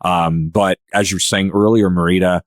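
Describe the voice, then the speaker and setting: Guy with a really deep voice hosting a casual discussion, kinda rambly, no room echo. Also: fairly even intonation, moderate pace, studio quality. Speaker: podcast host.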